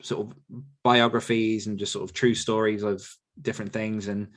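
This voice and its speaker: A man's voice: lowish voice, moderate pace. A man, hosting a podcast and answering listener questions in casual, rambling chat. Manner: relaxed, rambling with fillers and restarts, laughs often.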